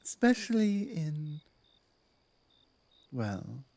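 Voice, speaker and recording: A female werewolf pack leader, acted by a woman speaks in an intimate ASMR audio drama. She is earnest and deliberate, picking her words slowly and haltingly. Her authority has softened into careful sincerity, and the sound is dry and close. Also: deep voice, sing-song, no echo, exaggerated voice. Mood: reassuring. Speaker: audio roleplay performer. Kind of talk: intimate ASMR roleplay acting.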